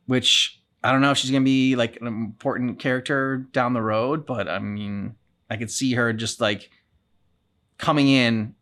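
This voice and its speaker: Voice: mid-range voice, a bit bright. A reaction channel co-host casually discussing a video game in a loose, wry, meandering way.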